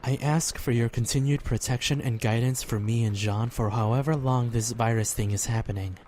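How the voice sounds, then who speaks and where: A man's voice: medium pitch, normal speed, a little mellow, close to an everyday voice. A man acting as an earnest, devout young man in a fan audio drama, speaking politely and sincerely, a little hesitant. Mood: prayerful, sincere. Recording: clearly noisy background ambience.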